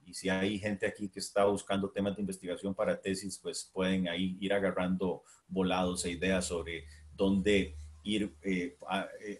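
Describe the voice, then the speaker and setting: A middle-aged Costa Rican man giving a university lecture, with a Costa Rican accent, pausing often with fillers and restarting his phrases. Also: fairly low pitch. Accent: Costa Rican Spanish. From Costa Rica. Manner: hesitant, many fillers and restarts. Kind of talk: academic lecture.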